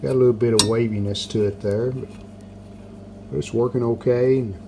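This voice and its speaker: A man giving a casual hands-on repair walkthrough, unhurried and thinking aloud as he works at an indoor bench. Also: mid-range voice, fairly even intonation. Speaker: hobbyist restorer presenting a repair video. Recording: clear background noise.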